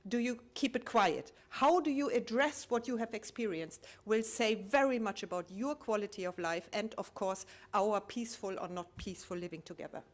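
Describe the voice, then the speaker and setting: Woman speaker, mid-pitched, thoughtful, halting delivery with restarts, poses rhetorical questions to the room. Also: lively intonation. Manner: reflective, posing rhetorical questions. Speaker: conference speaker. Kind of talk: public talk sharing collected testimonies.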